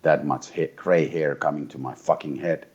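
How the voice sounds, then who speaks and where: A man's voice: very deep voice, steady tone, moderate pace, darkish tone, slightly rough, muffled recording. A Finnish man in his forties from eastern Finland, a tired interview guest who talks bluntly, swears, and restarts his sentences often. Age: 40s. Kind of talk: casual interview talk.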